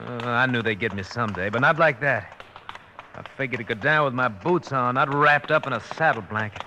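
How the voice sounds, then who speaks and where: Man playing a bright, wry western gunslinger. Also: mid-range voice, brisk, close to an everyday voice. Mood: grim, defiant. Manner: folksy, sardonic. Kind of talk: 1950s radio western acting. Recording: slightly noisy old radio recording.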